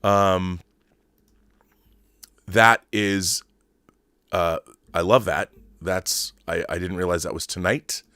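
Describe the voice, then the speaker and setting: Male livestream host, middle-aged, lowish voice, casual and opinionated fan talk with halting restarts, close dry sound. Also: fairly even intonation, sharp tone, no echo. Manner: halting, rambling, repeats words while thinking. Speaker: host of a film fandom livestream. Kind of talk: casual commentary, answering viewer messages.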